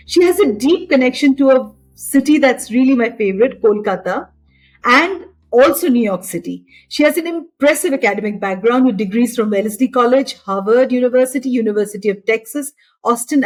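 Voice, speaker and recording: Woman host, gushing formal intro, slightly high voice. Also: animated, fairly quick, faint music behind, poor audio. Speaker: show host. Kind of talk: interview hosting.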